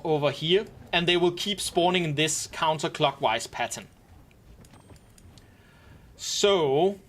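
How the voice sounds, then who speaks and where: A man live-streaming, gently and casually explaining game mechanics to his squad with wry asides. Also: fairly high pitch. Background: faint keyboard typing and clicks.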